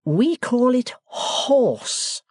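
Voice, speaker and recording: A narrator voicing a pompous English gentleman who speaks slowly and condescendingly, as if to a deaf child. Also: medium pitch, big pitch swings, no echo, studio quality, pitched above the performer's own voice, exaggerated voice. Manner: slow, loud, over-explaining as if to a child. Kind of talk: audiobook character voice.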